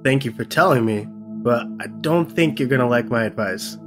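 A woman's voice: extremely low pitch, lively intonation, normal speed, music in the background, voice pushed down. A woman voicing a caring young boyfriend who speaks earnestly and reassuringly. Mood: concerned.

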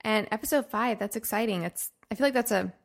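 A woman's voice: a little high, a little fast, creaky. A woman hosting a podcast in casual chat, bubbly, laughing often and filling gaps with ums. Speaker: podcast host.

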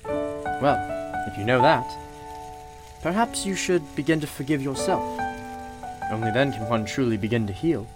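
A man playing a kindly, wise and slightly mysterious shopkeeper in a radio play, speaking in a formal, measured, reassuring way. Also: medium pitch, loud music behind the voice, natural voice. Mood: gentle, reassuring.

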